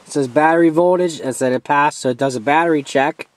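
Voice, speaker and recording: A man giving a casual, hands-on product review as an amateur, informal and halting as he steps through features. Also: on the high side, a little hiss or hum.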